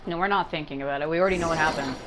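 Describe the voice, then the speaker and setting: Low-voiced woman giving casual game commentary. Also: racing, a loud crowd. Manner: informal, explanatory. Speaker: gaming streamer. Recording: game music behind the voice.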